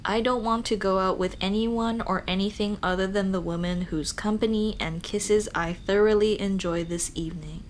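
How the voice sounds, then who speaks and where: A woman voicing a shy, teasing female dullahan on a date in an ASMR romance roleplay, speaking softly and hesitantly, often near a whisper. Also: mid-range voice, fairly even intonation, moderate pace, few pauses, slight background noise, close to an everyday voice. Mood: flustered, fond. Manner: soft, hesitant, intimate, often dropping to a whisper.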